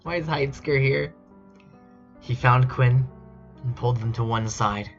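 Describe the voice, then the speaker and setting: A man streamer reads aloud at an unhurried pace, slipping between casual chat and mock-dramatic storytelling. He sounds amused and incredulous. The mic is dull and lo-fi, with music playing behind him. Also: medium pitch. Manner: amused, incredulous asides while reading. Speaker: streamer reading fan fiction aloud. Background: background music.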